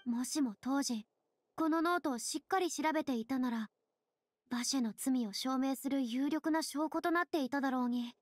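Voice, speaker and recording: An actress playing a solemn, thoughtful young woman in a video game, speaking in a measured, dramatic and slightly lofty way. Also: sits high, no echo, natural voice. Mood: pensive, investigating. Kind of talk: Japanese video game voice acting.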